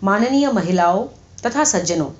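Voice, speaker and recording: A woman's voice: mid-range voice, lively intonation, lightly acted. A woman reads as an earnest talking donkey from a satirical storybook. He speaks formally and philosophically, like a speechmaker, with mock pomp. The sound is slightly lo-fi. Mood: proudly announcing. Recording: slightly dull, lo-fi audio.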